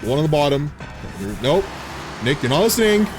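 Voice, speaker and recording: An excitable man in his thirties gives casual commentary as he plays, cracking jokes and laughing often. His voice sits a little high for a man and swings widely in pitch. Game music plays clearly behind him. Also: a little fast. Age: 30s. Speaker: game streamer. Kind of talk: casual live gameplay commentary.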